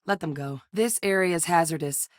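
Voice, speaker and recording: A woman voicing a composed, principled female scientist officer in a video game, speaking in a measured, earnest, direct way. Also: fairly low pitch, no echo, clean studio sound, natural voice. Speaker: game companion character. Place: recording studio. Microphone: close studio mic.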